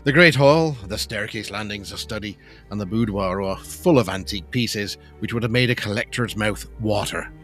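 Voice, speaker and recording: A composed gentleman narrator, voiced by a man telling a gothic tale in a low-pitched voice. He delivers scripted storytelling over faint music. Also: normal speed, close to an everyday voice.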